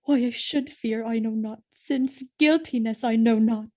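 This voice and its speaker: An actress playing an innocent, devoted and frightened young wife in a Shakespearean audio drama, speaking verse in an earnest, pleading way. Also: female voice, a little high, unhurried, darkish tone, close to an everyday voice. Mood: fearful.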